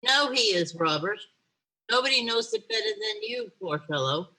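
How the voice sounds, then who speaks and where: A woman playing a loving but sharp-tongued Victorian mother, emphatic and tart, in a radio play over a video call. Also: a little high, wildly swooping pitch, moderate pace, exaggerated voice, rough recording. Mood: indignant.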